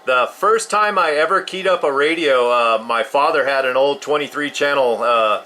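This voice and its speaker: A man's voice: mid-range voice, moderate pace, bright, forceful tone. A man in his fifties chatting casually as a CB radio operator. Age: 50s.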